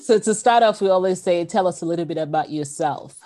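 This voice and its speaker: Host: woman from Nigeria, very low voice, casual interviewer who laughs easily. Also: brisk, mellow. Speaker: podcast host.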